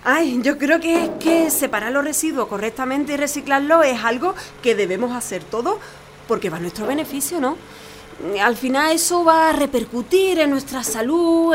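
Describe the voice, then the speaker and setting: A woman's voice: high voice, fairly bright tone, slight background noise. An actress playing a friendly, talkative, civic-minded married woman with an Andalusian accent in an audio drama, effusive and homely. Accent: Andalusian Spanish. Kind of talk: audio fiction acting.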